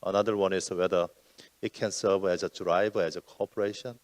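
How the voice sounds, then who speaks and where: A man with a lowish voice gives panel remarks over a video call, stopping often to reword himself. The sound is dry and close, with no room around it. He speaks at an even, deliberate pace. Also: no echo. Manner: halting, many fillers and self-corrections. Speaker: UN environmental program officer.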